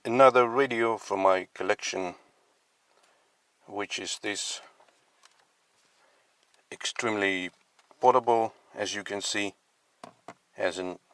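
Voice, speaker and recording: A man giving a casual product demonstration as a collector, speaking in halting, piecemeal phrases. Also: lowish voice, a bit bright, clean tone, no echo. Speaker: radio collector presenting his collection. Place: inside a parked car.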